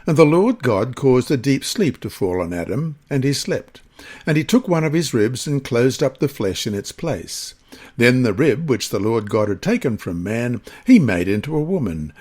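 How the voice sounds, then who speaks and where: A man with a slightly husky voice reads a scripted Bible study lesson in a measured, deliberate manner. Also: mid-range voice, darkish tone. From Australia.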